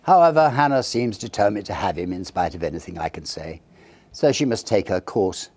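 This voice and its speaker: A man's voice: lowish voice, animated, brisk, darkish tone, no echo. A man voicing a kindly, opinionated country rector chatting about his parishioners in a storybook reading. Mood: gossipy, concerned. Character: kindly, gossiping country rector, mildly disapproving.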